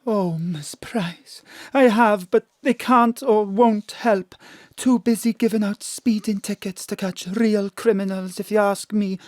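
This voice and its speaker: An actress playing a seductive, melodramatic gangster's widow in a noir-parody audio drama, pleading and flirtatious. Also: female voice, mid-range voice, unhurried, a little mellow, dry recording, studio quality, close to an everyday voice.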